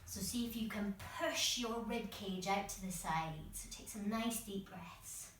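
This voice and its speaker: A woman's voice: medium pitch, a little slow, fairly bright tone, slight background noise. Female workshop instructor, encouraging guided instruction, distant from the mic with heavy room echo. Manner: encouraging, step-by-step, demonstrates as she talks.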